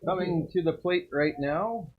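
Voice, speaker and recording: A man with a booming voice doing play-by-play commentary for a baseball game, lifting his voice on the plays. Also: unhurried, breathy edge. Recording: dull lo-fi stream audio.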